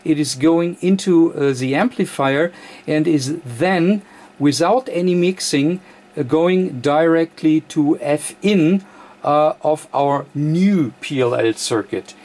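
A man who is a non-native English speaker gives a technical walkthrough as an electronics presenter, speaking haltingly with many uhs. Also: a little high, a little mellow. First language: not English. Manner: halting, hesitant, frequent uhs. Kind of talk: technical walkthrough of a radio modification. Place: indoor electronics workbench, off camera.

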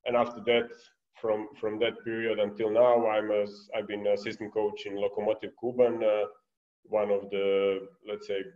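A man's voice: lowish voice, little pitch movement, normal speed. A man in his thirties with a Serbian accent, a basketball coach speaking conversationally as a guest on a muffled, echoey video call. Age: 30s. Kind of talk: conversational online presentation. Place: bright room indoors by a window.

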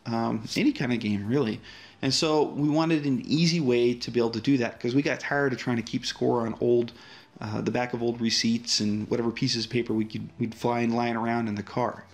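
A man's voice: mid-range voice, fairly quick. A man, the app's developer, casually walking through a demo of his app with frequent fillers and restarts. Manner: relaxed, friendly walkthrough with frequent fillers.

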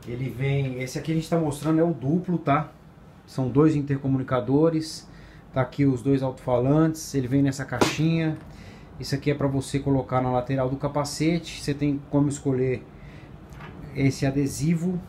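A man's voice: medium pitch, dark tone. A man presenting a product casually and informally for a shop, repeating himself for emphasis. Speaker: motorcycle accessory shop presenter. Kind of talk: casual product review to camera. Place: indoors at a table by a large sunny window. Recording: background ambient noise.

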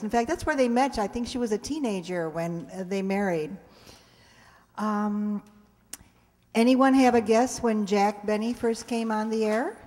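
Slow-paced older woman in an echoing hall. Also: a little high, a little mellow. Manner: chatty, asks the audience questions. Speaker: presenter introducing a community radio-play show. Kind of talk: live introduction to an audience. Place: stage in a community hall. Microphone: microphone on a stand, close.

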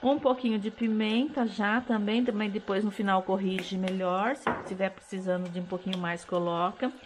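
A woman's voice: medium pitch, fairly even intonation, normal speed, a little hiss or hum. A middle-aged woman with a Brazilian Portuguese accent, chatting casually as she cooks in her home kitchen and explaining each step, recorded on a head-mounted camera. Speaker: cooking vlogger. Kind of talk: casual cooking chat to camera.

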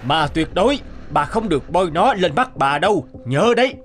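An actor voicing a stern, secretive husband in a cartoon fairy tale, commanding and insistent. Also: male voice, on the high side, sing-song, normal speed. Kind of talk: animated fairy-tale dubbing. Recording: background music under the voice.

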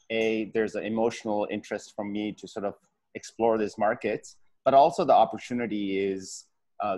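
A man's voice: fairly low pitch, a little mellow. A man in his thirties who grew up in California, a founder speaking candidly and reflectively on a video call from an office, with many fillers. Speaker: startup founder. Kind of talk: casual panel talk on a video call. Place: office with posters. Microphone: wired earphones on a video call.

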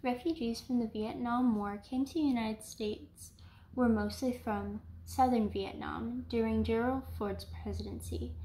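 A young woman from South Louisiana hosting a home cooking show, explaining each step calmly and then narrating some food history. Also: fairly high pitch, measured pace, a little hiss or hum. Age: young adult. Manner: calm, instructional. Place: home kitchen, indoors. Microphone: camera mic, across the kitchen. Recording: echoey kitchen room sound.

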